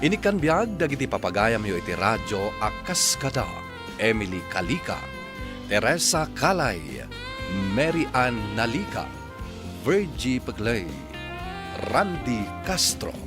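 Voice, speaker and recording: A man with an Ilocano accent announcing a radio drama in a dramatic, scripted style, with music behind him. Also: medium pitch. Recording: music under the voice.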